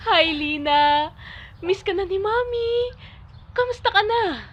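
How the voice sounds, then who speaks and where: High-voiced caring Filipino mother , acted by a woman. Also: animated, slow, highs cut off, full character voice. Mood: excited, loving. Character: affectionate, anxious Filipino mother working abroad. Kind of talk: audio drama dialogue.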